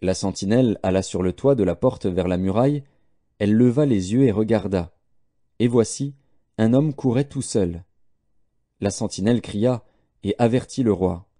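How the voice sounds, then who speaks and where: A man narrating scripture as a scripted audiobook in a solemn, measured storytelling manner. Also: lowish voice, mellow, dry recording, clean studio sound.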